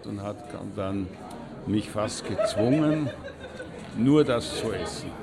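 An actor playing a storyteller with an Austrian accent, telling an anecdote deliberately, with pauses, in a radio drama. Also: male voice, lowish voice, lively intonation, over a noisy crowd. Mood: bemused. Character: male storyteller recalling an eccentric old friend.

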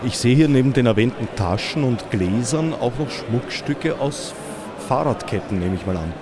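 A man's voice: mid-range voice, animated, moderate pace, a crowd in the background. A man interviewing exhibitors at a fair with brief, direct questions. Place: busy indoor fair hall.

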